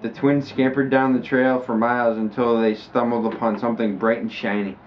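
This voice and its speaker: A man casually reading a children's story aloud, stumbling and halting as he goes. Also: mid-range voice, flat delivery. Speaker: amateur story reader. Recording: muffled lo-fi home recording, background noise.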